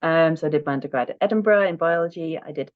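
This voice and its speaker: A woman's voice: low-pitched, quick pace. A woman in her thirties from the south coast of England with a southern English accent, chatting as a podcast guest in a self-deprecating way, full of "um"s. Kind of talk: casual interview chat. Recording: dull, lo-fi remote audio.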